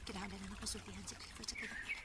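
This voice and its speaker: A woman's voice: mid-range voice, fairly even intonation, rapid-fire, very noisy, natural voice. A woman acting a lovelorn young woman with a secret crush in a radio drama. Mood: wistful.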